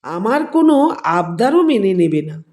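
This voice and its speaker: A woman reads a scripted family drama in a measured, deliberate storytelling manner. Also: medium pitch, very animated, darkish tone. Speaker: storyteller reading own written story.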